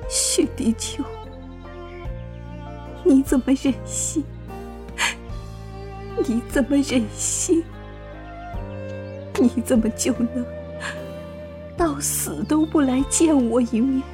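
A woman's voice: high-pitched, slow, pauses often, over music. A woman playing a grave, sorrowful older Buddhist nun master, solemn and emotional, in a Chinese period audio drama. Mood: anguished, tearful.

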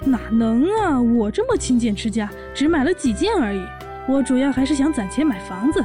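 Woman as young orc wife, high-pitched, excitable, admiring, narrative audio drama delivery, music underneath. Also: brisk. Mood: chatty, coaxing.

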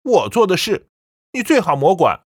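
A woman's voice: lowish voice, very animated, moderate pace, slightly rough, no echo, clean studio sound. A woman doing a cold, defiant young son. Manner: cold, scornful, lecturing.

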